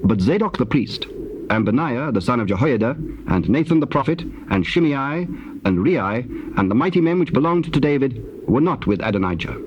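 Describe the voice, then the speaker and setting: Measured male scripture narrator. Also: mid-range voice, lively intonation, highs cut off. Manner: measured, formal. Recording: faintly noisy old cassette tape.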